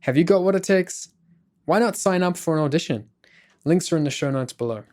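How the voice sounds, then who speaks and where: A man's voice: a little high, animated, fairly quick, a little mellow. A man reading scripted documentary narration as the host.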